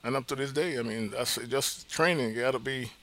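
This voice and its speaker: A chef from Panama with a gravelly voice, talking in a casual interview. He drives his points home by repeating them. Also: medium pitch, a little fast, bright, forceful tone. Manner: emphatic, repeats key points.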